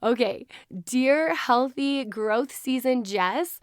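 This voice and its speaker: A hoarse woman hosting her podcast in a casual, chatty way, laughing often. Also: high-pitched, lively intonation, slow, no room sound, clean studio sound. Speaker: podcast host. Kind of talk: casual chat.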